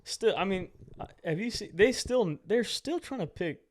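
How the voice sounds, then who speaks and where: Laid-back young man, slightly high voice. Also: expressive, normal speed. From United States. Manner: laid-back, lots of "yeah" and slang. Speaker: podcast co-host. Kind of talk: casual chat.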